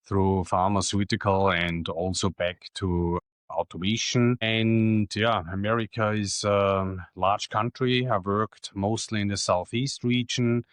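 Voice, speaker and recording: Austrian guy, german accent, super low voice, dry close sound, chats plainly with lots of uh pauses. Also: little pitch movement, smooth, dry recording. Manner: plain, matter-of-fact, with frequent "uh" pauses. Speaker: guest. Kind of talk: casual interview answers.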